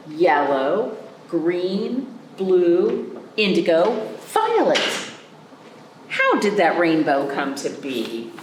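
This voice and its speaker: A woman hosting a children's storytime as a librarian, reading aloud with chatty asides and simple, animated explanations with little check-in questions. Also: mid-range voice, reverberant, a little hiss or hum, poor audio. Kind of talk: picture-book read-aloud with chatty asides for young children.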